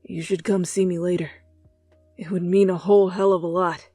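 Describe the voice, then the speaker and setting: A woman voicing the gentle, wistful ghost of a young man in an ASMR fan fiction, speaking low, hushed and casually tender. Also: level tone, moderate pace, slightly rough, no room sound, faint music behind. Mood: warm.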